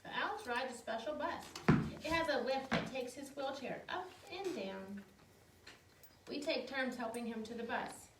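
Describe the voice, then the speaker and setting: A woman reading children's picture books aloud in a simple, expressive storytelling way, with casual asides. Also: high-pitched, moderate pace. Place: outdoors in the wind, with animals. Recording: echoey, distant, noisy windy outdoor recording.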